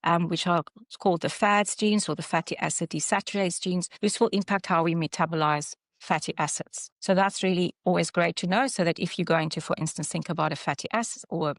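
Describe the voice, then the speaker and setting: South African woman clinician presenting slides, lowish voice, dry close sound, explains with pauses and restarts. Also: steady tone, no room sound. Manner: halting explanation with fillers and self-corrections. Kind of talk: online webinar presentation.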